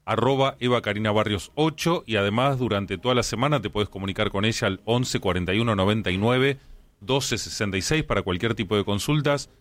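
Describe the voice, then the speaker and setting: A man's voice: on the low side, fairly quick, a bit bright. Chatty Argentine man hosting radio. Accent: Argentine, Rioplatense. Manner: chatty, effusive. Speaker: radio host. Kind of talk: casual radio presenting.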